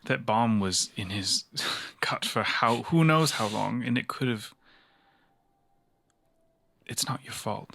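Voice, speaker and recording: A man playing a consoling, remorseful investigator in an improvised cyberpunk tabletop game, earnest and halting. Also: medium pitch, animated, vocal fry, sharp tone, exaggerated voice.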